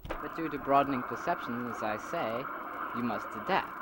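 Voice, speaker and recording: An earnest, freedom-preaching revolutionary leader played by a man in a homemade audio drama. He philosophizes in stumbling, halting phrases at a middling pitch. The old amateur recording is heavily buried in noise. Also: close to an everyday voice. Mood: insistent. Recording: very noisy amateur early-1990s home recording.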